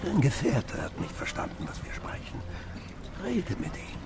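An actor playing a stern, wary Kurdish village chieftain in a radio drama, speaking with deliberate authority. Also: male voice, fairly high pitch, very animated, background noise. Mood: grave, cautioning.

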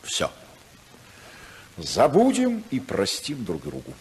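An actor playing a cynical, scheming senator husband with a sardonic, theatrical, mock-polite manner in a 1950s Soviet radio drama. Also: male voice, medium pitch, huge pitch swings, slow, darkish tone, slightly rough, heavily acted. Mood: bargaining, cajoling. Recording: 1950s radio recording, long hall reverb.

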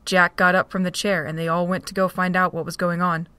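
Low-voiced woman narrating a story. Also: flat delivery, a little fast, bright, forceful tone, clean tone, dry recording. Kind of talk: scripted narration.

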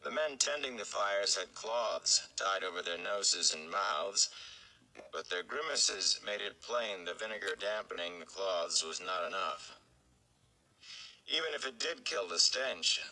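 A man's voice: sits low, gravelly, sharp tone, rough recording. A young adult man, giving a dramatic narration of a story. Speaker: audiobook-style reader for a fan read-along. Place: small indoor room.

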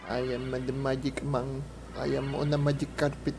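A man's voice: medium pitch, level tone, moderate pace, gravelly, background noise. A man doing casual, rambling gameplay commentary as a streamer, with playful asides. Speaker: game streamer. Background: music.

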